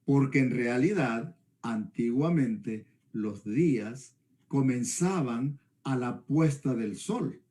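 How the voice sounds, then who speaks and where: A man's voice: mid-range voice, lively intonation, slow pace, dark tone. A man from El Salvador, a pastor teaching conversationally and often checking for agreement. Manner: didactic, often seeks agreement with tag questions. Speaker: pastor discussing the Bible.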